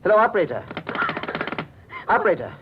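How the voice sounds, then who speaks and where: A concerned male doctor, firm and urgent in 1940s radio style. His mid-pitched voice swings dramatically. The old recording is dull and faintly hissy. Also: very animated, brisk. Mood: urgent, alarmed. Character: concerned, take-charge male family doctor. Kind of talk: 1940s radio suspense acting.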